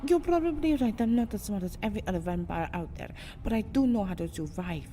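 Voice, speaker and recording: A woman playing a proud, distraught, centuries-old vampire girl in an ASMR roleplay, theatrical, moving between breathy whispers and agitated speech. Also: medium pitch, lively intonation, fast, warm tone, a little hiss or hum, natural voice. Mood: accusing, indignant. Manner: theatrical, often dropping into breathy whispers, swelling into agitated outbursts. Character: anguished two-hundred-year-old vampire girl, proud, fiercely protective, distraught. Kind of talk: ASMR roleplay monologue, melodramatic acting.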